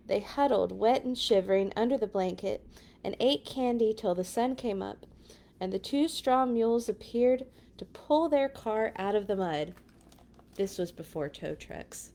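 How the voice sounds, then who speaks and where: A woman's voice: mid-range voice, darkish tone. A woman reading a picture book aloud to children, with dramatic emphasis and chatty asides. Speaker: children's read-along storyteller.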